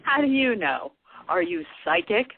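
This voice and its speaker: A woman's voice: on the low side, big pitch swings, a little slow, heavily acted. A woman playing a snippy, sarcastic, sceptical sister in an amateur radio play. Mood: surprised. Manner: sarcastic, exclamatory. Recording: husky-sounding tinny phone-like line.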